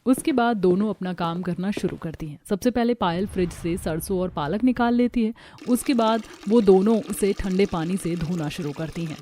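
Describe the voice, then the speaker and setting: A woman's voice: medium pitch, moderate pace, few pauses, dark tone, a little hiss or hum. Woman narrating a cartoon story.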